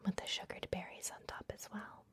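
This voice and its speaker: A woman whispering gently and unhurriedly in a breathy voice, playing a kindly vampire librarian in an ASMR fantasy roleplay. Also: a little fast. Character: kindly vampire librarian hosting a human guest. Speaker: ASMR performer.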